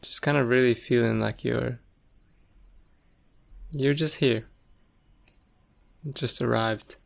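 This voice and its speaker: Man, meditation teacher, gentle, very slow pace, gravelly fry, long pauses. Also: mid-range voice, steady tone. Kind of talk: guided meditation.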